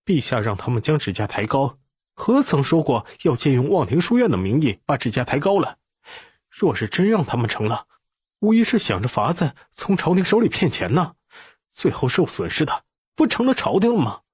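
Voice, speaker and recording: Excitable young prince, performed by a male narrator, earnest, naive, exclamatory, slightly husky, for an audiobook. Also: mid-range voice, moderate pace, no room sound, studio quality, voice pushed up. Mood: indignant.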